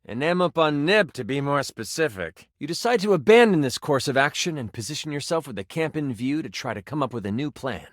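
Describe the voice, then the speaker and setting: Man as a theatrical, animated fantasy game master. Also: a little high, a little fast, few pauses, no room sound, clean studio sound, close to an everyday voice. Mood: suspenseful storytelling. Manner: theatrical, expressive, savoring suspense. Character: personified D20 die, male, theatrical, enthusiastic game master. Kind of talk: dramatic tabletop fantasy narration.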